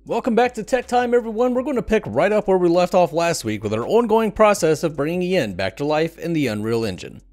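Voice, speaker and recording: A man's voice: high voice, very animated, fairly quick, few pauses, a little mellow, faint music behind. A middle-aged man hosting a gaming podcast in an informal, conversational way, talking into a headset indoors. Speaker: show host. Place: indoor room at a desk.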